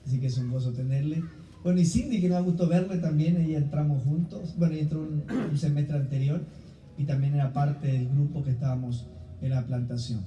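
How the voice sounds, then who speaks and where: Man, Ecuadorian accent, very soft dark timbre, slightly high pitch, preaching in a church. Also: steady tone, fairly quick. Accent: Ecuadorian Spanish. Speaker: guest preacher. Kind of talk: sermon with personal introduction. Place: church hall, recorded from a distance. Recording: roomy, distant mic, faint background noise.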